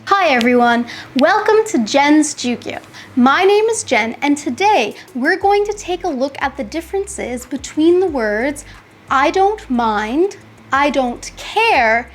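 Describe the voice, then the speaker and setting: A young Canadian woman teaching an English lesson from home, speaking clearly and slowing down on the key phrases. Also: sits high, slight background noise. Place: home room with bookshelves.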